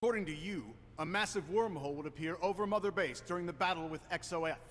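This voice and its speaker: A stern game official with a fairly high male voice. He talks in formal, reproving lines. His words ring in a long hall-like reverb. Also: fast, a bit bright. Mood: reproachful. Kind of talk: scripted game cutscene dialogue.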